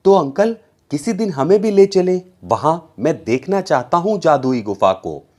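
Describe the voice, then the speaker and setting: A man's voice: on the high side, very animated, measured pace, warm tone, voice pushed up. A man voicing an eager, curious young boy in a children's story, pleading and wondering.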